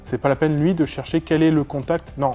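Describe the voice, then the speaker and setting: Mellow-voiced man chatting over soft music. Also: on the high side, steady tone, a little fast. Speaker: local municipal representative. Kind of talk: unscripted interview testimony.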